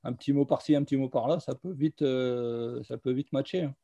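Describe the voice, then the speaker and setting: A man who runs a charity association, talking casually on a video call, hesitant and filling his pauses with euh. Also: medium pitch, steady tone, warm tone, no echo, dull audio. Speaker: association founder.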